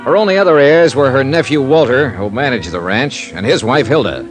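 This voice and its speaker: An actor playing a wry, dogged insurance investigator, with dry, sardonic, clipped delivery in 1950s radio detective style. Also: male voice, medium pitch, animated, brisk, over music, close to an everyday voice. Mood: dry narration. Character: wry, dogged freelance male insurance investigator narrating his case.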